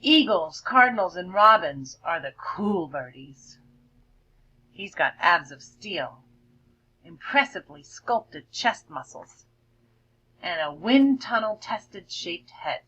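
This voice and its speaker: A woman reads a picture book to children in a bright voice with lively, swooping pitch. She stretches words for effect and slips into hushed asides to explain things, like a schoolteacher. The recording is slightly muffled. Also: takes it slowly, husky. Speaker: elementary school teacher.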